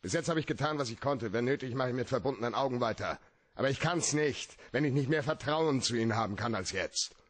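An actor in a German radio play as a cool, cynical, shrewd private detective with a laconic, deadpan way of talking. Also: male voice, medium pitch, fast talker, lightly acted. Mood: exasperated.

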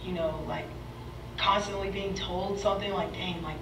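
A lively young woman chats casually on a panel, chiming in to agree. Her voice is video playback in a roomy hall, far off with noise behind. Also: on the low side, moderate pace. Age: young adult. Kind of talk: panel discussion. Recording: video played in an echoey hall, off-mic, noisy.

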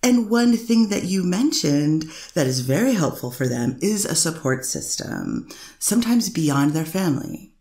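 A woman's voice: low voice, sing-song, measured pace, mellow. A woman attorney cross-examining a witness in a courtroom, pressing with leading questions. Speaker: defense attorney. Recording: echoey courtroom recording.

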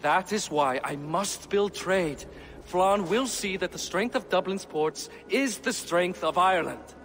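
A man playing a dignified, shrewd middle-aged Viking king in a game cutscene, speaking formally and deliberately. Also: sits high, a bit bright, in a large hall, slight background noise. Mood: earnest, calculating. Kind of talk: dramatic video game cutscene acting.

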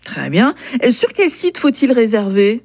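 A woman's voice: fairly high pitch, big pitch swings, measured pace, a little mellow, breathy edge. Upbeat woman radio host. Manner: friendly, upbeat prompting questions. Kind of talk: radio interview.

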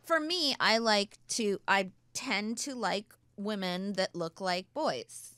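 A woman's voice: mid-range voice, expressive, takes it slowly, a bit bright, clean tone, no room sound. A woman guest in a casual podcast chat, rambling a little and searching for her words as she thinks aloud.

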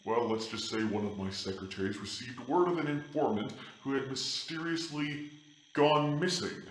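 A man's voice: medium pitch, normal speed, a little hiss or hum, lightly acted. A man playing a bold, sceptical investor making sardonic accusations in an audio drama. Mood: accusing. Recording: echoey, music underneath.

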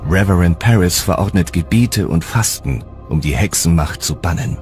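Very deep-voiced male documentary narrator. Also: expressive, normal speed, a little mellow. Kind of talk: scripted dramatic narration. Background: music.

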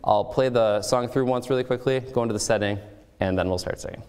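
A man's voice: mid-range voice, quick pace, darkish tone, slight background noise. A young man giving an earnest devotional sermon at a school chapel podium, reading scripture aloud with emphasis. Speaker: chapel speaker. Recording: echoey hall with long reverb.

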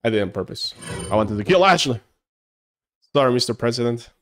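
A man's voice: mid-range voice, expressive, a little fast, a bit bright. A man in his thirties streaming a horror game live, joking and laughing at himself as he plays, on a headset mic. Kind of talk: live gameplay commentary. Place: home room, indoors. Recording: game music behind voice.

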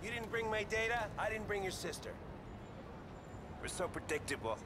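An actor playing a sly, taunting middle-aged criminal hacker, sardonic and smug, in a video game. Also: male voice, high voice, expressive, normal speed. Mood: smug menace. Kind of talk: cinematic video game acting. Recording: distant voice under loud noise.

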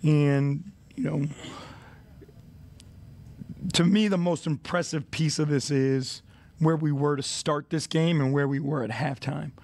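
A man in his forties, a head coach answering reporters at a postgame press conference, speaking into a desk mic in an arena press room, measured and reflective with many fillers. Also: a little high, steady tone, vocal fry, a little mellow, no room sound.

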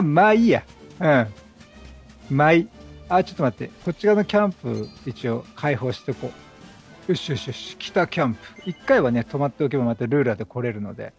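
A chatty man with a high, very lively voice commentates casually on a video game. He reacts out loud with quick exclamations, and game music plays behind him. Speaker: gaming commentator.

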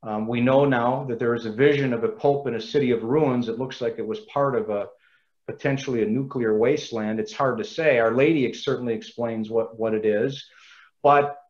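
Casting: earnest man lecturer on a video call, mid pitch, muffled audio. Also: fairly even intonation. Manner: earnest, measured, quotes sources at length.

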